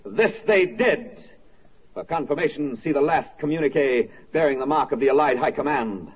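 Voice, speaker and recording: An actor playing a solemn, rousing wartime narrator, declaiming in an oratorical radio drama style. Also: male voice, fairly high pitch, lively intonation, moderate pace, slight background noise, close to an everyday voice. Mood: rousing, proud. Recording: muffled 1940s radio recording.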